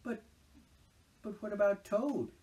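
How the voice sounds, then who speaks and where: A man's voice: sits high, takes it slowly, warm tone, distant mic, voice pushed up. A man voicing an anxious, fretful little male mole, speaking in a worried, pleading way. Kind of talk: storybook reading aloud.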